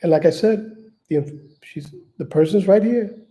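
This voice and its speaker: A man from New York with a high-pitched voice that still sounds very dark and mellow. He talks off the cuff to his viewers, stumbling and repeating words as he searches for his next phrase. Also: normal speed, low-quality recording. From Manhattan, New York, United States. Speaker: channel host appealing for donations. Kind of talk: casual unscripted livestream talk.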